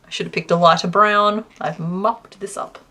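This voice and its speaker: A woman's voice: mid-range voice, fairly even intonation, normal speed. A woman chatting casually on a crochet livestream, rambling with many fillers and reading chat aloud. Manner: reads chat aloud and counts stitches quietly. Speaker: crochet streamer.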